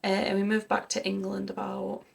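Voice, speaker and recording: Gravelly, flat-toned woman talking it through. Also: medium pitch, moderate pace. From Germany. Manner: earnest, thinking aloud. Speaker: co-host of a music reaction channel. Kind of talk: casual reaction discussion.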